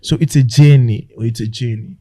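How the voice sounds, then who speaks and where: A Congolese man talks quickly in a mellow, medium-pitched voice. He chats casually as a comedian on a podcast, mixing languages and repeating words as he tells his stories. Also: fairly even intonation, no echo. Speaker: stand-up comedian guest. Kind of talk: casual podcast chat, switching between languages.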